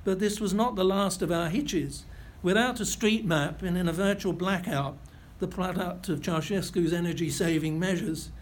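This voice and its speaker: An older man giving a lecture, reading out a first-hand account in a measured, precise way with a few self-corrections. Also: sits high. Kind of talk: reading a prepared memoir-style lecture.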